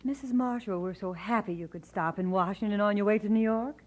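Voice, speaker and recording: Woman acting church committee lady, gracious, polished, courteous, mid-pitched voice, radio drama style. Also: fairly quick, close to an everyday voice. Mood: gracious, polite.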